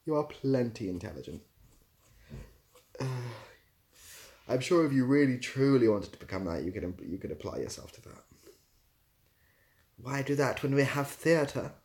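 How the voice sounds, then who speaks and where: A man's voice: medium pitch, lively intonation, moderate pace, pauses often, darkish tone. A British-accented man chatting casually as a livestream host, answering viewers in a dry, easygoing, self-deprecating way. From Britain.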